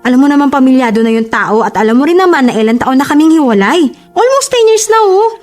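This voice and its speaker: A woman's voice: medium pitch, moderate pace, mellow, soft background music, close to an everyday voice. A woman playing a devoted, hurt bride-to-be in a relationship drama, speaking emotively with a Filipino accent. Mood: hurt, indignant. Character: long-suffering, devoted bride-to-be, hurt and defensive.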